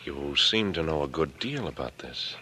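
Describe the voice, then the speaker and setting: An actor playing a smug, calculating middle-aged killer in an old American radio drama, speaking in a taunting, deliberate, condescending way. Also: male voice, extremely low pitch, animated, bright, forceful tone, full character voice. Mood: gloating menace. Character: coolly menacing older killer, smug, patronizing and calculating. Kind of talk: 1940s radio drama acting. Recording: noisy vintage radio broadcast recording.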